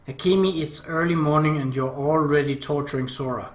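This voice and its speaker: A man's voice: on the high side, brisk, close to an everyday voice. Man voicing a scolding, exasperated anime big sister. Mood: indignant, scolding. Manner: indignant, exasperated. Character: scolding, easily flustered older anime sister. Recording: roomy echo.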